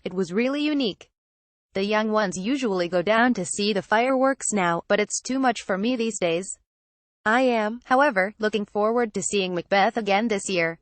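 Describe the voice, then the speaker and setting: A woman's voice: mid-range voice, no room sound. Woman reading an article aloud, dry recording. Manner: reads captions, credits and quotes straight through. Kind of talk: scripted narration, article read aloud.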